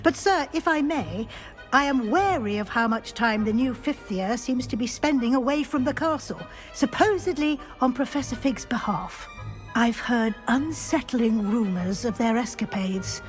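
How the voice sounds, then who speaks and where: Actress as a high-voiced, sensible lady professor. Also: faint music behind, natural voice. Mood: polite, concerned. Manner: measured, formal, tactful. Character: sensible, concerned, polite female wizard school professor. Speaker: professor character. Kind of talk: video game acting.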